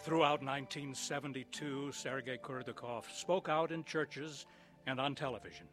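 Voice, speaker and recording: A man giving solemn, measured scripted narration in a documentary style. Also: mid-range voice, over music.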